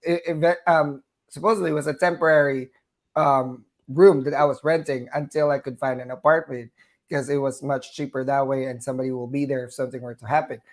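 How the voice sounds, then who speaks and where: A man's voice: mid-range voice, steady tone, brisk. A nurse from the Philippines with a Southern US twang, a man talking chattily as an interview guest, with frequent fillers and laughs between phrases. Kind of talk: conversational interview.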